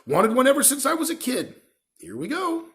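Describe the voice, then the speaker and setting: Man putting on a folksy, gruff giant, swooping in pitch. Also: huge pitch swings, normal speed, fairly bright tone, full character voice. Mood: exclaiming, jovial. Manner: folksy, exclamatory, drops words. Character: giant gruff good-natured man, blunt and rustic.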